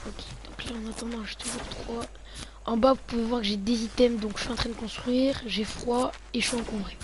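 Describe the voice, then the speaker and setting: A man's voice: extremely high pitch, fairly even intonation, brisk. A male gamer talking viewers through his gameplay, often muttering half to himself between remarks. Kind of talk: let's play commentary. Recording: noisy home recording.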